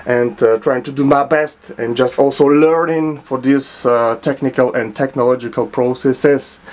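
A man with a Russian accent explaining his own restoration work in a small home workshop, speaking haltingly with many filler sounds and restarts. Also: mid-range voice, steady tone, a little mellow. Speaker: hobbyist restorer. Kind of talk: hesitant show-and-tell narration.

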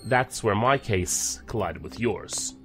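A man playing a serious, guarded federal agent in a science fiction audio drama, speaking in a measured, formal way. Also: on the low side, over music. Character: guarded, serious male federal agent back from deep cover.

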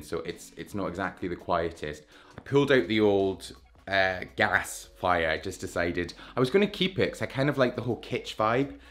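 Man, 30s, very low voice, enthusiastic self-mocking vlogger chat, camera held at arm's length. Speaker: vlogger giving a flat tour. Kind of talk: casual walk-through chat. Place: rooms of a London flat, indoors. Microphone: handheld camera at arm's length.

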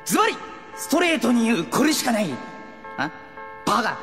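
An actor playing a brash, cocky teenage best friend coaching emphatically in a Japanese audio drama, with a reverberant flashback effect. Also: male voice, very high-pitched, sing-song, a little fast, gravelly, heavily acted. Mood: confident coaching. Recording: long reverb with faint music.

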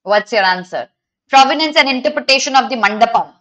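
A woman's voice: on the high side, lively intonation, quick pace, pushed and bright. A young woman with an Indian accent teaching an online exam prep lesson to live students, instructional and slipping between English and Hindi. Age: young adult.

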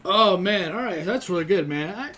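A man's voice: high-pitched, brisk. An American man in his twenties giving casual, crude, joking reaction commentary full of swearing. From Arizona, United States. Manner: excitable, crude, joking, muttered asides. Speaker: reaction video host. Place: small plain room indoors. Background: animated short soundtrack with music.

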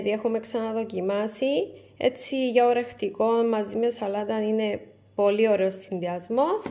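A woman talks through her shopping item by item. Her voice sits fairly high, and her manner is chatty and easygoing. She is recorded indoors in a bright room at home. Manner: chatty, warm sign-off, item-by-item show and tell. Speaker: vlogger. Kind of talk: casual supermarket haul vlog.

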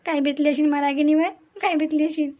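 A woman's voice: high voice, level tone, fast talker, dark tone, natural voice. A woman voicing a worried middle-aged mother in a cartoon family melodrama, coaxing and plaintive, with a Vidarbha Marathi accent. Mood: anxious.

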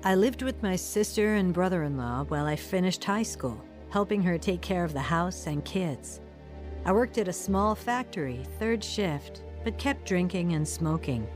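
Actress doing a woman telling her sad youth for a radio drama, lowish reflective voice, music under it. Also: animated, normal speed, a little mellow, lightly acted. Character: woman soberly recounting her troubled, lonely youth. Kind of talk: scripted first-person radio drama narration.

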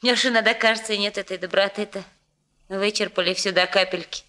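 An actress playing a warm, excitable young working woman in a Soviet radio drama, chatting in a colloquial, gushing way. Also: mid-range voice, quick pace, natural voice. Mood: frank, chatty.

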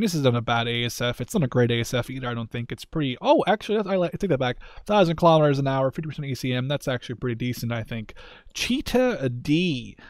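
A man's voice: medium pitch, dry recording, clean studio sound. A man hosting a gaming video with casual, rambling and self-deprecating commentary in an informal style.

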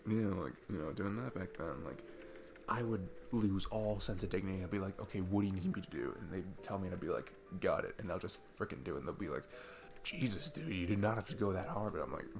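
A young man playing an awkward, chatty single dad on a date in an ASMR roleplay, rambling haltingly and self-deprecatingly. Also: low-pitched, creaky. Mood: self-deprecating, playful. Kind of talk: ASMR boyfriend-style roleplay, casual improvised-sounding chat. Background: background music.

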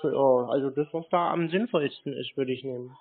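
A man chatting casually on a call, deadpan and laconic, sounding naive. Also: mid-range voice, brisk, heavy background noise. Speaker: prank scam-baiter playing a customer. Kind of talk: casual phone conversation. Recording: muffled narrow phone-like sound.

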